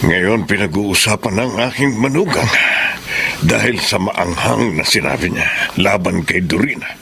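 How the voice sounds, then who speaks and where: A low, bright-edged villain gloats through a radio drama. He is an older political schemer, menacing and theatrical, with a creaky edge and faint ambience behind him. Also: lively intonation, normal speed, slight background noise, exaggerated voice. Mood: gloating, vengeful. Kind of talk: Filipino radio drama acting.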